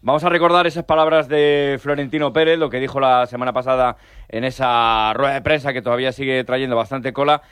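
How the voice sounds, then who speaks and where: A man reporting sports news on the radio, measured and agreeable, with many fillers and pauses. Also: mid-range voice, fairly even intonation, fairly bright tone, clean tone, dry recording.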